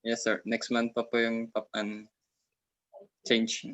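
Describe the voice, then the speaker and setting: A man's voice: medium pitch, monotone, measured pace, fairly bright tone, no echo. A nineteen-year-old man with a Filipino accent introducing himself in an online class, brief and polite. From Baguio, Philippines. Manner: brief, polite, answers with "sir". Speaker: student. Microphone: video call.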